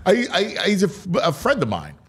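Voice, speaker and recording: An American man bantering as a sports talk host. His pitch sits a little high and swings widely as he talks, and he often doubles back on phrases for emphasis. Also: wildly swooping pitch, a little fast, a bit bright, husky.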